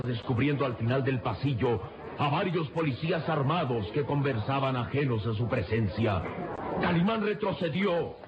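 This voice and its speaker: Man, suspenseful declamatory serial narration, dull lo-fi sound, loud music behind. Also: medium pitch, reverberant. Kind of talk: dramatic scripted radio serial narration.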